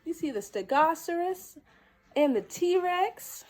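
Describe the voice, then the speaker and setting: Very high, animated woman reading storytime. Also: slow. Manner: playful, exclamatory. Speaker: storytime host. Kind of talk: expressive children's picture-book reading with chatty asides.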